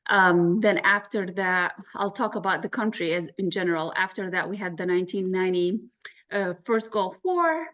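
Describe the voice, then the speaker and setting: A woman's voice: lowish voice, moderate pace, a bit bright, dull audio. A woman from Iraq, around forty, telling her personal story candidly and matter-of-factly as an interview guest. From Baghdad, Iraq. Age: 30s to 40s. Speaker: interview guest, real estate agent.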